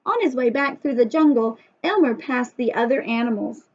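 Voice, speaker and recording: A woman reading a story aloud to young children in an expressive, sing-song way, adding chatty asides and questions to the listeners. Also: a little high, normal speed, lo-fi sound.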